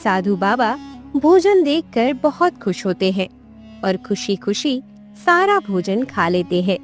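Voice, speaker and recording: A woman's voice: medium pitch, big pitch swings, slow, soft background music. A woman narrating a scripted moral tale for a cartoon, as a storyteller.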